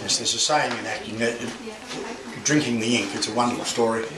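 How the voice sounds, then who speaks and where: A man's voice: mid-range voice, fairly even intonation, a little fast. An older man gives a rambling, anecdotal speech at an exhibition opening, with many restarts and bawdy asides. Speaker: guest speaker opening an art exhibition. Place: gallery with a crowd. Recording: echoey room, far from the mic, noisy.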